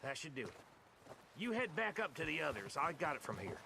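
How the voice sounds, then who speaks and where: Blustery gravelly outlaw from a game, noisy background. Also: male voice, on the high side, sing-song, fairly quick. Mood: busy, guarded. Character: gruff, blustering male outlaw explosives man. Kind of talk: dramatic video game acting. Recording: noisy outdoor ambience behind.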